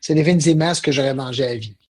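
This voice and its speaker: A man's voice: medium pitch, very fast. A middle-aged man with a Quebec French accent, chatting as an interview guest in hesitant, reflective phrases. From South Shore of Montreal, Quebec. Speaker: podcast guest, business networking company president. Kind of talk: casual interview chat.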